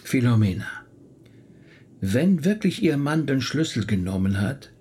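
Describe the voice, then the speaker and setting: An actor playing a sober, concerned male confidant in a German radio play, questioning in a measured, husky voice. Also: medium pitch, very animated, mellow. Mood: sober, skeptical.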